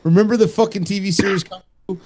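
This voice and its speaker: Guy on a casual panel show, kinda high voice, emphatic and opinionated, sweary. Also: steady tone, fast, a little mellow. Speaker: podcast panelist.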